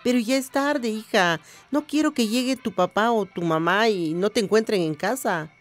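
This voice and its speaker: A woman's voice: medium pitch, moderate pace, soft background music, close to an everyday voice. A woman playing a protective, worried old village grandmother in a radio drama, tender but admonishing.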